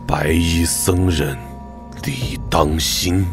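A crafty old patriarch of a martial-arts radio play. His voice sits very low and he takes his time over every phrase. His manner is measured and courtly. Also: expressive, faint music behind, full character voice. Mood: grave. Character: genial, crafty old clan patriarch and general's peer. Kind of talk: Chinese martial-arts audio drama acting.

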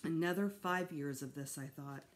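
A middle-aged woman reading a children's novel aloud in an expressive storytime style. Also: sits low, moderate pace. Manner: expressive, acts out dialogue. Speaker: storytime reader. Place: small home room, seated indoors.

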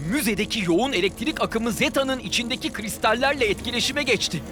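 An eager, adventurous young cartoon monkey with a very high voice. The delivery is dramatic and exclaiming, in children's cartoon style. Loud music sits behind the voice. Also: male voice, expressive, a little fast. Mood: serious, intrigued.

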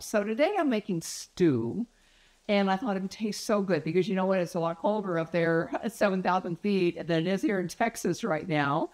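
A woman in her sixties chatting casually to a phone camera in her home kitchen, friendly and rambling with fillers and little laughs. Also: lowish voice. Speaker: vlogger. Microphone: phone camera at arm's length.

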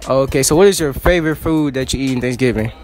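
A man's voice: medium pitch, fast, a little mellow, soft background music. A teenage boy hosting casual school hallway interviews, joking and teasing in slang, holding a phone as a mic. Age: teens. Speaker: student interviewer, channel host. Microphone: handheld phone mic.

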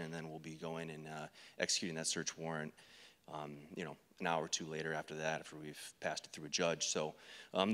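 A man's voice: low voice, a bit bright. American male agent, informal presenter, hesitant delivery, little intonation, echoing auditorium. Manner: halting, hesitant. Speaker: drug enforcement agent, panel speaker. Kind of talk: informal career presentation to an audience. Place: stage of an auditorium. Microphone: handheld microphone. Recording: reverberant hall sound.

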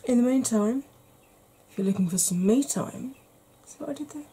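A middle-aged woman chatting softly in a rambling, gentle way for an ASMR makeup vlog, seated in a small room at home. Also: fairly high pitch, animated, moderate pace, husky. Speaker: beauty YouTuber. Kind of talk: soft-spoken ASMR makeup chat.

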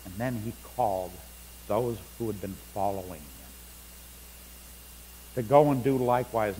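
Middle-aged male pastor, slow deliberate sermon delivery, picked up from afar in a big sanctuary. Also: mid-range voice, darkish tone. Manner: unhurried preaching, reading scripture aloud with rising emphasis. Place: large church sanctuary. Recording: long church reverb, faint hum.

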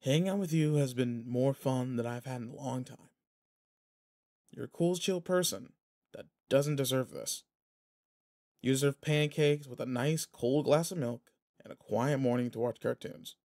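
A man acting in an audio roleplay as a protective, teasing, rough-edged but kind pretend boyfriend, talking intimately and casually. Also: medium pitch, moderate pace, mellow, natural voice. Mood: gentle, reassuring.

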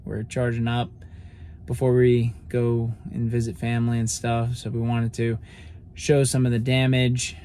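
A young man speaks into a phone held at arm's length inside a parked car. His voice is mid-pitched and keeps a flat, even line. A faint hum sits under the talk. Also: a little slow. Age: young adult. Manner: flat, matter-of-fact explaining. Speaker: vlog host. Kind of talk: casual vlog talk. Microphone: phone camera at arm's length.

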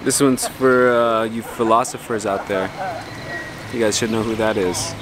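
A man's voice: lowish voice, fairly even intonation, unhurried. A man casually walking through his vintage clothing haul outdoors by parked cars, enthusiastic and descriptive. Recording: outdoor street noise.

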